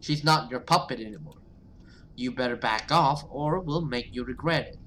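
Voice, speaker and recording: A man's voice: medium pitch, moderate pace, fairly bright tone, lightly acted. A man voicing an urgent, protective, defiant survivor in a horror visual novel.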